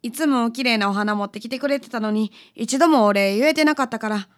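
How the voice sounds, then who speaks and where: A woman's voice: a little high, brisk, natural voice. A woman playing a polite, tender-hearted detective's client with a Kansai accent in a radio drama. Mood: gentle, wistful. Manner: polite, hesitant.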